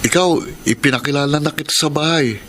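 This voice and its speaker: An actor with a Filipino accent playing a young college student, an evasive boyfriend, in a radio drama. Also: male voice, fairly high pitch, quick pace, fairly bright tone, a little hiss or hum, close to an everyday voice. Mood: coaxing. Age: young adult.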